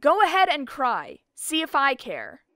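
A woman's voice: high-pitched, wildly swooping pitch, unhurried, a bit bright, slight background noise, heavily acted. A woman playing a tough, blunt teenage schoolgirl in a game dub, scolding harshly and with scorn. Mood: cold anger. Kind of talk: dramatic video game dub acting.

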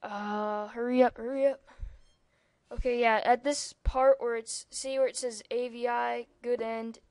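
A woman's voice: fairly high pitch, steady tone, a little slow, a little mellow, smooth, no room sound. A young-sounding female speaker giving a rambling, casual computer tutorial over a screen recording, with lots of ums and asides. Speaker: tutorial maker.

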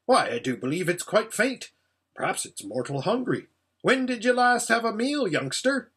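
A man voicing an excitable, bossy but kindly red dwarf from a storybook, with loud, urgent exclamations. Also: high-pitched, normal speed, breathy edge, voice pushed up, full character voice. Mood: bustling concern.

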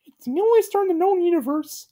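Woman doing a high squeaky clay boy. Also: expressive, fast talker, warm tone. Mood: mournful. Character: small clay boy, innocent, frightened.

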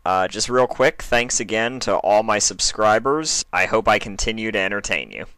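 A man with a clear, slightly low voice narrates in a casual storybook style. His intonation is animated, and the sound is dry and close. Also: moderate pace, no echo. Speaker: fan fiction reader and narrator.

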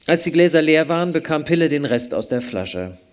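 A middle-aged man narrating a live radio play on stage with expressive, theatrical storytelling into a headset mic. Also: a little high, a little fast.

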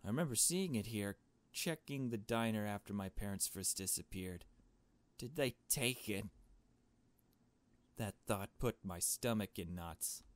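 A man's voice: lowish voice, lightly acted. A man voicing a nervous, shaken young woman who narrates a visual novel mystery in a hesitant, uneasy way. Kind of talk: visual novel story read aloud.

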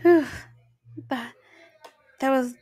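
A woman's voice: high-pitched, very slow, warm tone, dry recording, studio quality, full character voice. A woman voicing a playful, doting goddess sorceress in an ASMR roleplay, cooing and teasing affectionately.

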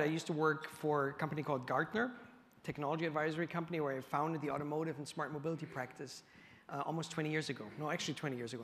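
A man's voice: a little high, fairly bright tone, in a large hall. Upbeat man chatting on a panel stage. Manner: upbeat, conversational. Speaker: panel guest, company executive. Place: stage in an event hall. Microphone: lapel mic.

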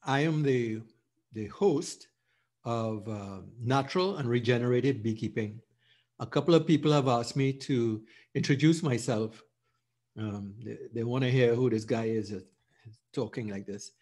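A middle-aged man from Trinidad with a Trinidadian accent, hosting and telling his story in an informal, halting, reflective way. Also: mid-range voice, darkish tone. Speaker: host, beekeeper. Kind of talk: casual self-introduction over slides.

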